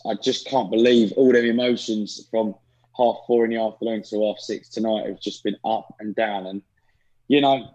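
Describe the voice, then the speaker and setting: A man, hoarse from shouting, speaking as a panelist on a podcast in a rambling way. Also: lowish voice, low-quality recording. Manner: rambling, monotone.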